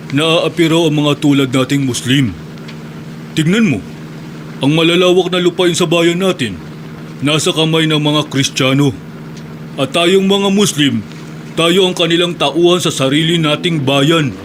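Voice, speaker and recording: An earnest young man who takes up street vending, played by a radio drama actor. He speaks with a slightly raised pitch and a plain, sincere manner over faint background noise. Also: measured pace, long pauses. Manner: earnest, hawking loudly when selling.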